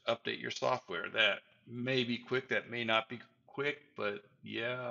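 A man's voice: on the low side, sharp tone, dull audio. A man in his sixties giving a casual, folksy tech tutorial with wry asides, recorded in a small room at home. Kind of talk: casual explanatory presentation over slides.

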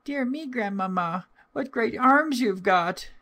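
Man doing a high-pitched innocent little girl. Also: lively intonation, slow, sharp tone, exaggerated voice. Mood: astonished.

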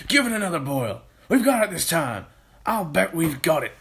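A man voicing an excitable, bossy farmer father in a children's storybook, with urgent, exclamatory delivery. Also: a little high, moderate pace, vocal fry, voice pushed up, exaggerated voice. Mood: eager.

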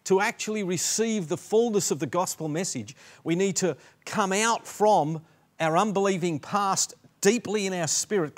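A man in his sixties with an Australian accent, preaching a sermon earnestly with many restarts from a dark studio set. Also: fairly high pitch. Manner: earnest, gesturing, frequent restarts and repeated words. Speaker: pastor.

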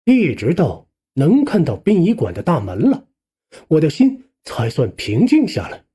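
A man narrating a scripted first-person suspense audiobook in a conversational, expressive way. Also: fairly high pitch, unhurried, breathy edge.

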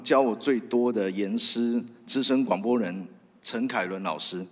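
A man with a Taiwanese Mandarin accent giving an earnest, halting acceptance speech into a stand microphone on a theatre stage. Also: mid-range voice. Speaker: radio host, award winner. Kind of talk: award acceptance speech, partly read from phone. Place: large theatre stage at awards ceremony. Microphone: stand microphone, close. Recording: big hall reverb over PA.

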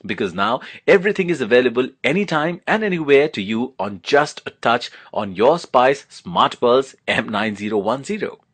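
A man's voice: medium pitch, expressive, normal speed, hardly any pauses, slightly rough. A man narrating a scripted product demonstration, explaining things step by step in an instructional manner.

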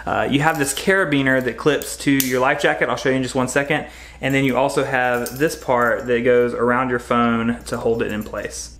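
A man's voice: mid-range voice, fairly even intonation, normal speed, a bit bright, a little hiss or hum. A man in his thirties, upbeat and chatty, reviewing gear in a casual home vlog, recorded on a close camera mic in his garage. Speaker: fishing vlogger.

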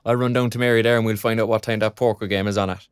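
A man's voice: on the low side, fairly even intonation, rapid-fire, clear, resonant tone, no room sound, close to an everyday voice. A man with an Irish accent playing a young graduate in an audio drama, teasing and encouraging in colloquial talk. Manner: colloquial, bantering. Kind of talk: naturalistic audio drama dialogue.

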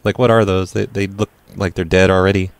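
A man's voice: low voice, flat delivery, a little fast, no room sound. A man playing a blundering, casually unapologetic lawn care worker on a prank call, hesitant but blunt in the way he talks. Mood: nonchalant. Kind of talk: improvised prank phone call.

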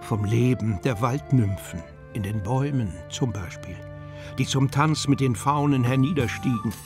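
A man's voice: mid-range voice, moderate pace. Male storybook narrator over music.

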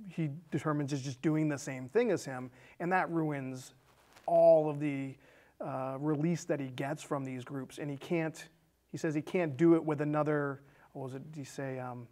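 American man, slightly high, halting conversational delivery. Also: dark tone. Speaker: film discussion show co-host. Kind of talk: casual conversational film recap. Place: small indoor studio set with curtain.

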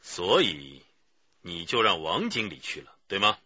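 Low, raspy police detective. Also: male voice, sing-song, measured pace, bright, forceful tone, exaggerated voice. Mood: probing. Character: stern, regretful male police detective interrogating. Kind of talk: radio drama acting. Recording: dull, muffled recording.

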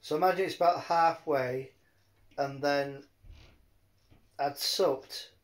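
A man chatting casually in a rambling, halting way, often repeating his words. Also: a little high. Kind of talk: rambling casual phone chat.

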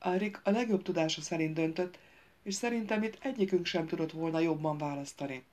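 A woman's voice: lowish voice, a little mellow, lightly acted. A woman voicing a firm, level-headed young princess in an audiobook.

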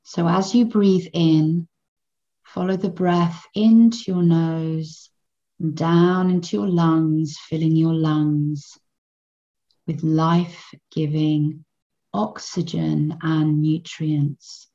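A woman guiding a children's meditation in a gentle, soothing manner, in measured phrases with long pauses between them. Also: sits low, fairly even intonation, lo-fi sound. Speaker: meditation guide.

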